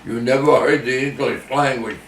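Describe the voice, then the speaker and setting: An older man reminiscing in a casual oral history interview, talking in halting, rambling phrases and repeating himself. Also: medium pitch, fairly even intonation, vocal fry. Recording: echoey room, distant mic, faint noise.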